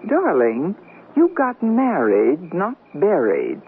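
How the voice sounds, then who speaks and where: A woman's voice: lowish voice, huge pitch swings, takes it slowly, husky, heavily acted. A woman playing a wry, wisecracking middle-aged newlywed in a 1970s radio comedy drama. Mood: wry, bantering. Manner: dry, wisecracking. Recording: noisy, narrow-band 1970s radio recording.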